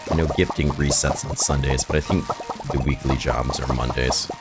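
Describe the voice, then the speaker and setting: A man with an extremely low, hoarse and raspy voice talks loosely through a gameplay session, thinking aloud as he goes. His voice sounds worn from shouting the night before. Game music plays clearly under his talk. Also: steady tone, normal speed. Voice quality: raspy, rough voice. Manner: rambling, thinking aloud while playing. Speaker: gaming video host. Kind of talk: casual gameplay commentary.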